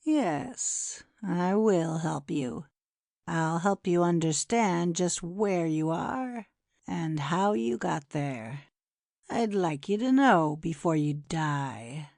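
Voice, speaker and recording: A woman's voice: lowish voice, lively intonation, takes it slowly, vocal fry, darkish tone, dry recording, studio quality, exaggerated voice. A woman playing a cruel male poisoner in an audiobook drama, taunting and menacing as she gloats. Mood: mocking, menacing.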